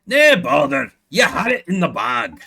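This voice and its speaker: A man's voice: fairly high pitch, wildly swooping pitch, measured pace, vocal fry, pushed and bright, husky, heavily acted. A man voicing a brash, cheerful rodent bagpiper from a video game, with a Scottish accent and a folksy manner. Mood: breezy, dismissive.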